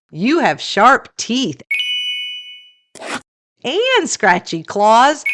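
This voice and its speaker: Woman, children's read-aloud, very slow pace, lively swooping pitch, deliberate storyteller delivery.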